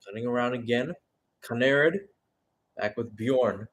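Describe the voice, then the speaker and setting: Male football play-by-play commentator, mid-pitched voice, radio-style live call with conversational, self-correcting analysis. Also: a little slow, low-quality recording.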